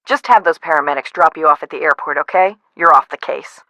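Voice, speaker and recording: A woman's voice: low voice, fairly quick, pushed and bright, slight background noise. An actress voicing a frazzled, no-nonsense woman who runs an amusement park in a video game, conversational and dry. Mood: exasperated, sarcastic. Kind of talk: scripted video game voice acting.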